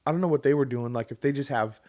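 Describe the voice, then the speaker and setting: A man's voice: medium pitch, rapid-fire, darkish tone. Joking young man, loose banter. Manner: loose, joking, swears casually. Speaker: podcast co-host. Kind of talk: casual chat.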